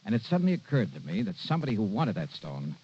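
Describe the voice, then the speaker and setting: An actor playing a wry, confident hardboiled insurance investigator in old-time radio noir. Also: male voice, lowish voice, huge pitch swings, fast talker, dark tone, breathy edge, full character voice. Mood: wry narration. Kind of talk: 1950s radio noir acting and narration. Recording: dull vintage radio recording, faint music.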